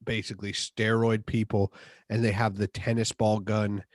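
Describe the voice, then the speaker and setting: A man's voice: on the low side, flat delivery, normal speed, dry recording, studio quality. A man in his twenties with an American accent, bantering casually and slangily as a podcast co-host. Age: 20s. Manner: slangy, buddy-like, addressing others as bro and dude.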